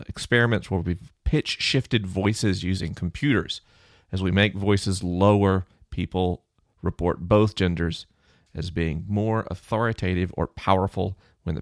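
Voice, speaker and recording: Man, low chesty voice, gravelly, dry close sound, chatty explainer. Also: no echo. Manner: conversational, thinking aloud with fillers. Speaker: podcast host answering listener questions. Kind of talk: casual explanatory monologue.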